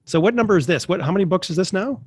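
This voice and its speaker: A man's voice: high-pitched, lively intonation, very fast, husky, dry recording, studio quality. A man hosting a podcast, chatty and enthusiastic with lots of fillers, sounding a bit congested from allergies. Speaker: podcast host. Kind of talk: casual interview.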